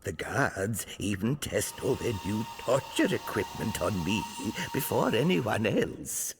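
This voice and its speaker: An actor playing a cheerful old male prisoner, proud of surviving torture, with a creaky voice and jaunty gallows humour in a video game. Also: lowish voice, very animated, takes it slowly, heavily acted. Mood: wry, proud. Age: older. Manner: jaunty, darkly humorous. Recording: long reverb, music underneath.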